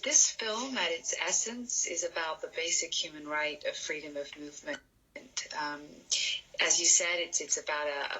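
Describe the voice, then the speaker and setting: A woman's voice: deep voice, pushed and bright, slight background noise, poor audio. A woman film director answering interview questions in an earnest, emphatic manner.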